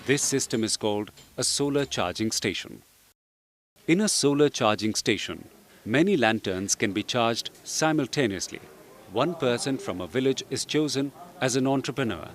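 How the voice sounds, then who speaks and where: A man reading scripted instructional narration in a measured, explanatory way. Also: mid-range voice, expressive. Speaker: training film narrator. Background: background music.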